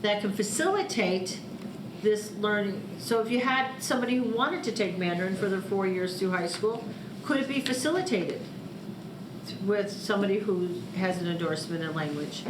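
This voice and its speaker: A woman's voice: medium pitch, lively intonation, moderate pace. A middle-aged woman speaking as a board member in a public meeting discussion. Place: large meeting room. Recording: echoey room with clear background noise.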